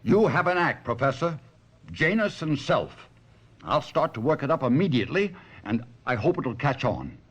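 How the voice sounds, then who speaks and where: An actor playing a troubled, sentimental stage ventriloquist in a theatrical, brooding 1970s radio mystery drama style. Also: male voice, mid-range voice, sing-song, moderate pace, slightly rough. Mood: uneasy, resigned.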